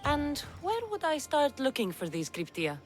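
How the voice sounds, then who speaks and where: Game casting: young Greek-accented mercenary woman, steely and earnest, very expressive pitch, music underneath. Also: moderate pace. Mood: determined, questioning. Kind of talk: video game cutscene acting.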